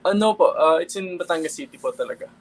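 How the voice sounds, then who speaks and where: A young man from the Philippines with a Filipino accent, a pageant candidate giving earnest, polite interview answers with filler pauses. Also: on the high side, animated, a little hiss or hum. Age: young adult. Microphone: video call.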